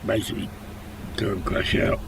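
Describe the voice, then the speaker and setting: An older man playing a roleplay game and chatting casually; he is coming down with a cold and talks in a tired, unhurried way with mumbled asides. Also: background noise. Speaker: roleplay streamer.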